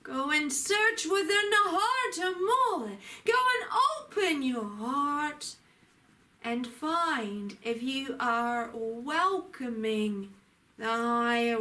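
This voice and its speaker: A woman delivering a channeled sermon, speaking as a divine lord in a solemn, declamatory way with frequent pauses. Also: on the high side, sharp tone. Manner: solemn, declamatory, pausing often. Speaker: spiritual channeler.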